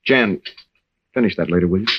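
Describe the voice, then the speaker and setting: An actor playing a troubled young American navy veteran and husband, speaking earnestly and haltingly in an old radio drama. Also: male voice, deep voice, noisy background, close to an everyday voice. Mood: gently coaxing. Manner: halting, earnest, with stammered restarts. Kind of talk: 1940s-50s radio drama acting. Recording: dull old-time radio recording.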